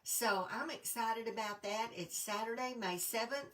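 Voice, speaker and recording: A middle-aged woman with a stuffy, congested voice, chatting casually to viewers, with lots of ums and throat clearing. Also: fairly high pitch, unhurried, fairly bright tone, distant mic. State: congested from allergies. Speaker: crafting demonstrator. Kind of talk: casual live-stream chat.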